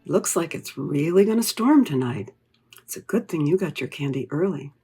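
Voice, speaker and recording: A woman voicing a calm, reassuring father in a soothing, matter-of-fact manner for a children's storybook. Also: deep voice, normal speed, husky, faint music behind, full character voice.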